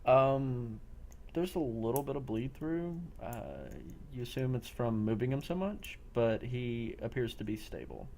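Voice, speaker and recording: A man acting as game master, improvising narration for a role-play game with a creaky, gravelly voice. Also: mid-range voice, measured pace, darkish tone, a little hiss or hum.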